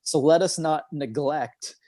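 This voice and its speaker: A man, a memory expert, explaining his ideas in a podcast interview in an earnest, clear way. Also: a little high, measured pace, mellow, dry recording, clean studio sound.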